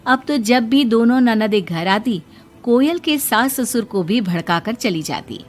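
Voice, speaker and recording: A woman's voice: a little high, animated, unhurried, soft background music. A woman reading a scripted moral story as its narrator.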